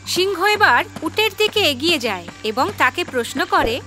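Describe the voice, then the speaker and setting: A woman's voice: high voice, sing-song, moderate pace, over music. A woman narrating a scripted children's story as the storyteller.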